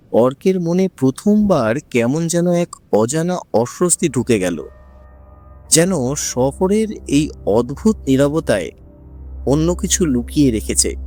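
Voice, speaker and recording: A man narrating a horror story in a suspenseful, drawn-out, dramatic way, as the show's host. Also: medium pitch, a little mellow.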